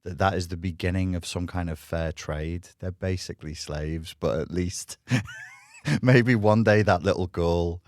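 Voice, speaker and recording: A man's voice: low-pitched, a little slow, no room sound. A man talking as a podcast guest, blunt and provocative, laughing often as he argues. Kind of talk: casual debate chat.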